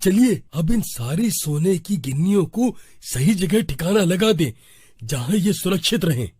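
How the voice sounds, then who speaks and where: A man's voice: high voice, expressive, unhurried, darkish tone, husky, no echo, studio quality, heavily acted. A man voicing a frightened, timid younger brother in a cartoon story, fretful and pleading. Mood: nervous.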